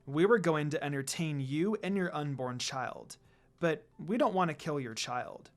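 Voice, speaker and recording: A man's voice: on the high side, expressive, a little slow, soft background music. Man reading as a smug, threatening evil sorceress.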